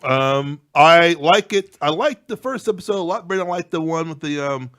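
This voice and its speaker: A man's voice: fairly high pitch, animated, moderate pace, a bit bright. A man co-hosting a casual podcast chat, offhand and dry in his remarks.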